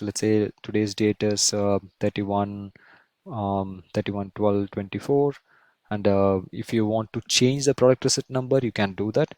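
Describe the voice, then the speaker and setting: Male tutorial presenter, lowish voice, talking through steps as he goes, rephrasing often, recorded dry and close. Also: no echo. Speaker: software instructor. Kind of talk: screen-share tutorial, explaining step by step.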